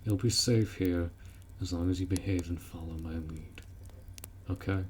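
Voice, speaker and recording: A man's voice: low-pitched, fairly even intonation, moderate pace, darkish tone, slight background noise, lightly acted. A man voicing a blunt, protective orc warrior who speaks informally and firmly in a roleplay audio drama. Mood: sympathetic, reassuring. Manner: blunt, informal, firm, instructing.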